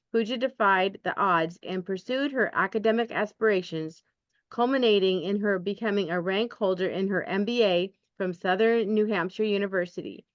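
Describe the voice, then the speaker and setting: A woman hosting a podcast, briskly reading a guest's bio and inviting her story over a video call. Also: mid-range voice, no room sound. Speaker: podcast host. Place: room indoors. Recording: dull lo-fi video call audio.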